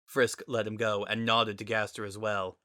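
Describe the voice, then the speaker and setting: A man's voice: fairly low pitch, flat delivery, normal speed, pushed and bright, no echo, studio quality. A man narrating a story as a dramatic scripted reading.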